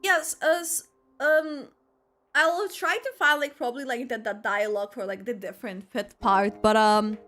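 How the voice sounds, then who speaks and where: A woman's voice: fairly high pitch, fairly even intonation, normal speed, fairly bright tone, faint music behind. A woman streamer giving casual, playful live reaction commentary on a video game.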